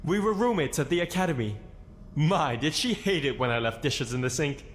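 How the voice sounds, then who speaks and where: An actor playing an upbeat, friendly, teasing architect hero in a video game, with a playful, theatrical manner. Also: male voice, a little high, lively intonation, a little fast, fairly bright tone, faint music behind.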